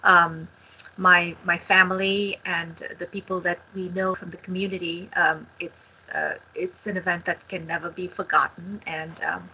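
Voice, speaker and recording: A middle-aged woman from India speaks through a headset on a video call, her voice a bit low and the audio dull and lo-fi. She talks in a halting way, filling pauses with ums. A faint hiss of room noise sits behind her. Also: little pitch movement. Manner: hesitant, many ums. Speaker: co-author. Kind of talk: casual talk on a video call. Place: home study with bookshelves.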